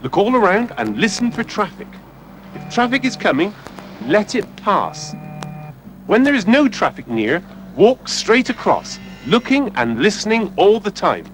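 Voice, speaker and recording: An actor playing a brisk superhero who teaches children road safety in a 1970s TV film. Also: male voice, sits high, big pitch swings, a bit bright, a little hiss or hum, heavily acted. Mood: instructive. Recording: old television film soundtrack with music.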